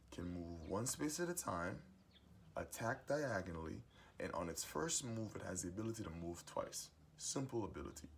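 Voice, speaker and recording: A young adult man with a booming voice gives a preachy, emphatic motivational monologue as host of his own series. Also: creaky, slight background noise. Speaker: host giving advice monologue.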